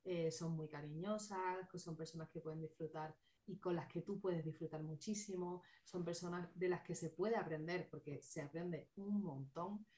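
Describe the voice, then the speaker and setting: A woman psychologist with a regional Spanish accent speaks as an interview guest. Her voice sits a little low, and she explains calmly, often working through lists of points. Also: moderate pace, few pauses. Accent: non-Madrid Spanish. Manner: calm, explanatory, lists things. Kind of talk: casual interview.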